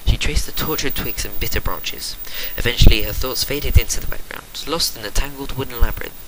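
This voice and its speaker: A man's voice: mid-range voice, brisk. A man giving a theatrical dramatic reading of a story, stumbling now and then as an amateur reader. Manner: theatrical narration with stumbles and exasperated asides. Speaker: reader of fan fiction. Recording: noisy amateur recording with clicks.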